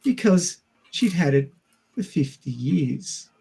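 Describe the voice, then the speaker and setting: Higher-voiced man discussing films. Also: animated, slow pace, vocal fry, mellow, slightly rough. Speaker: podcast co-host. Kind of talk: casual film discussion.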